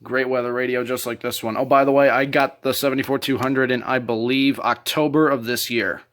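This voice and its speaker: Male hobbyist vlogger, average pitch, casual show-and-tell delivery. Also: steady tone, fairly quick, fairly bright tone, a little hiss or hum. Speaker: hobbyist presenting a radio collection.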